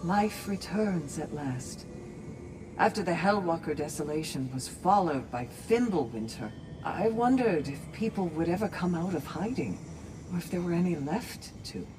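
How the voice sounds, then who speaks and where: A woman performs an earnest female warrior in a video game. She speaks with solemn, measured composure and articulate phrasing. The voice sits a little way from the mic, with music clearly behind it. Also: lowish voice, expressive, far from the mic, natural voice, low-quality recording. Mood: hopeful.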